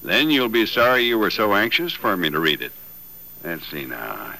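Wry, skeptical builder, folksy old-time radio drama, low bright voice, vintage recording. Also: male voice, big pitch swings, moderate pace, gravelly, exaggerated voice, rough recording. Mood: wry, deliberate. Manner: folksy, dry, musing. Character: modest, wry builder in a wheelchair, skeptical and teasing.

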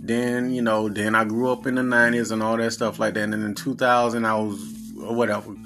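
A man's voice: lowish voice, little pitch movement, fairly quick, a bit bright, faint music behind. A man in his forties with a Southern accent, a podcast host talking in a blunt, profane, earnest monologue. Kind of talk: casual monologue.

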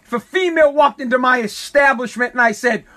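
A man with a very high speaking voice, worn hoarse from shouting. He rants in a bombastic, rambling way, tripping over his words and repeating himself as he hosts a comedy podcast. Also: fairly quick. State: hoarse, lost voice. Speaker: podcast host. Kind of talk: comedic political rant.

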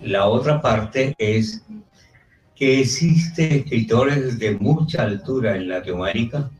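A man's voice: mid-range voice, slow pace, a little mellow. A man making a formal, hesitant, unscripted comment to a guest as a participant. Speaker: participant questioning the author. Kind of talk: unscripted question. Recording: echoey room, distant mic, music.

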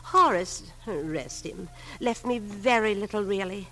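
A woman's voice: mid-range voice, measured pace, fairly bright tone. A woman playing a genteel, fussy, proud elderly widow in 1950s radio drama, hesitant and overly precise. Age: older.

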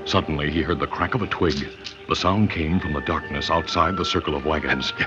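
A man's voice: very deep voice, a little fast. A man narrating a scripted old-time radio western adventure in a dramatic announcer's delivery. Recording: dull old radio recording with music.

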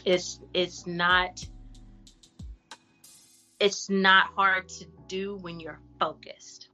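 Woman guest, bright tense tone, candid and slangy, relaxed interview chat. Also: extremely slow, muffled recording, soft background music. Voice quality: deep voice for a woman. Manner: frank, animated advice-giving with casual slang. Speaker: actress and artist guest. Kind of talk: casual podcast interview.

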